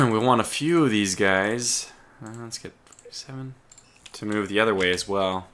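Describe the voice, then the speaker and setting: A man with a bright, forceful edge on a somewhat low voice talks his way through a strategy game. He is going hoarse, and he mutters orders and asides to himself as he plays. Keyboard typing sounds behind him. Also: expressive, measured pace. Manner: muttering to himself while playing. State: losing his voice, clearing his throat. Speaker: let's play host. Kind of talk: casual gameplay commentary.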